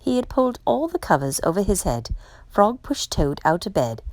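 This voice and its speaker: A woman's voice: medium pitch, big pitch swings, normal speed, a little mellow, dry recording. A woman narrating a children's storybook as a read-aloud for storytime. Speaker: storytime reader.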